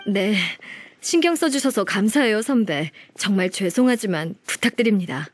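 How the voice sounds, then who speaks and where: A woman's voice: mid-range voice, expressive, normal speed, close to an everyday voice. Woman playing an earnest, deferential junior colleague. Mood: apologetic, grateful. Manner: polite, deferential. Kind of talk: video game character acting.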